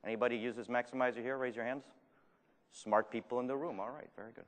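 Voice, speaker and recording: A man's voice: mid-range voice, steady tone, fast. A man with a French accent and Spanish as his first language gives a live conference talk, joking and putting questions to the audience. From Argentina. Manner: self-deprecating, jokey, asks the audience questions. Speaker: conference speaker. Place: large conference room. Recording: reverberant hall.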